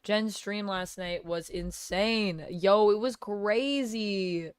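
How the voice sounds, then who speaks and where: A woman streamer under the weather with a cold, talking casually with a gravelly, smoky voice as she chats with viewers and thanks them by name. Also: mid-range voice, slow, no echo, studio quality. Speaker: live streamer. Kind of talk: casual live-stream chat, reading viewer comments. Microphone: gaming headset.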